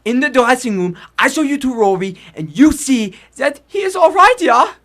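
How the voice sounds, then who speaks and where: High-voiced, timid old man. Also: very animated, bright, forceful tone, exaggerated voice. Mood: anxious pleading. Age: older. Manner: halting, hesitant, pleading. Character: timid, anxious old immigrant fight manager, pleading and humble. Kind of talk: 1940s radio acting.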